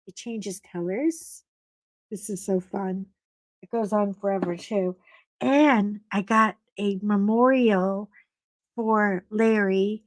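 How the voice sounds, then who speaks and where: Woman, middle-aged, slightly froggy voice, animated storyteller, unhurried chat, dry close sound. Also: no room sound. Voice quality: animated storyteller, swooping pitch on greetings. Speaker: live stream host. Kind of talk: casual live chat with viewers.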